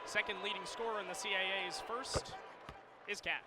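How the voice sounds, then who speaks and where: A man's voice: high-pitched, steady tone, moderate pace, a loud crowd. A man doing radio basketball play-by-play in clipped, descriptive phrases, punching up the big plays. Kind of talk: radio sports play-by-play commentary. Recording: noisy arena with bouncing basketballs.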